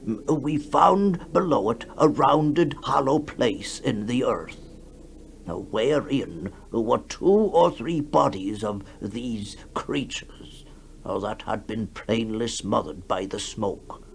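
A man acting a shaken, formal witness recounting horrors haltingly in a 1960s radio horror drama. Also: mid-range voice, animated, creaky. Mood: grave, haunted. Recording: noisy 1960s radio recording.

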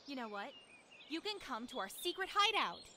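A woman's voice: high-pitched, big pitch swings, normal speed, bright, forceful tone, a little hiss or hum, exaggerated voice. A woman voicing a kind, confident young dragon girl in a video game, friendly and reassuring.

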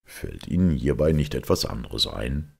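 Man as a gravelly, pensive priest detective. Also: rumbling low, big pitch swings, heavily acted. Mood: knowing. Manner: measured, deliberate, explanatory.

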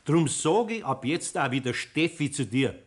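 A man's voice: fairly high pitch, lively intonation, moderate pace, husky. A man playing an older, good-natured master carpenter with a Bavarian accent in a 1960s German radio play, folksy and chiding. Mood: stern, teasing.

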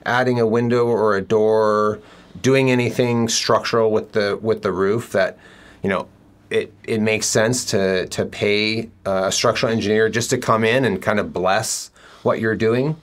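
A middle-aged man with a lowish voice hosts a relaxed interview from an office room. He talks conversationally, probing with questions and circling back with fillers and restarts. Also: a little slow. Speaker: builder and show host.

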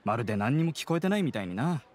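A man's voice: medium pitch, very animated, very fast, darkish tone. A man voicing a friendly young merchant in a game, chatting in an easygoing, helpful way.

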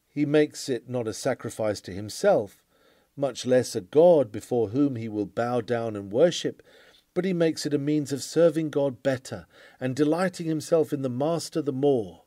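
Man, sermon reading, old-fashioned oratory, earnest and emphatic, animated intonation, dry close sound. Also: medium pitch, no room sound. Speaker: sermon reader. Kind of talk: dramatic read-aloud of a Victorian sermon.

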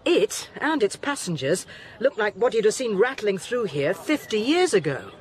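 A woman's voice: medium pitch, very animated, normal speed, close to an everyday voice. An actress playing an inquisitive, dry-witted woman scientist who explains things wryly in a radio drama. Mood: keen, wry.

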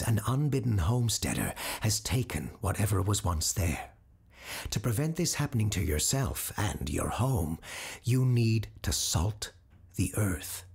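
A man playing a calm expert host of the uncanny in an audio drama, measured and dropping to hushed whispers. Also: lowish voice, vocal fry, slightly rough, heavily acted. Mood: grave. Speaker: radio host answering supernatural questions. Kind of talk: scripted audio drama narration. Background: music bed.

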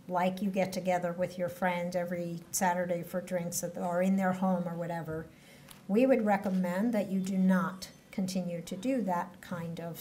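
Measured woman official at a podium. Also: fairly low pitch, warm tone, a little hiss or hum. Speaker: public health official. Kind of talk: press conference answers. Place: indoor press room at a podium. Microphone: podium microphones.

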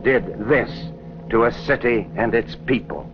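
A man's voice: medium pitch, measured pace. A man narrating a scripted 1940s educational film in a solemn, declamatory way. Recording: dull, band-limited 1940s film soundtrack. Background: orchestral film score music.

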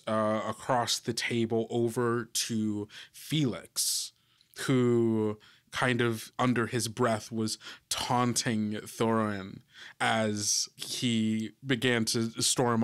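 A man acting as game master, narrating a tabletop game in a measured, scene-setting manner. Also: lowish voice, fairly bright tone.

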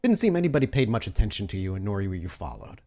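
Male game master, lowish voice, descriptive scene-setting narration for an actual play. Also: big pitch swings, fast, dark tone.

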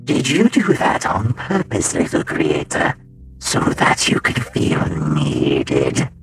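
A man voicing a tormented, brooding animatronic in a comic dub, menacing yet devoted, with a breathy growl and an intense, dramatic delivery. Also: huge pitch swings, takes it slowly, over music, exaggerated voice. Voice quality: very deep, gravelly, breathy growl.

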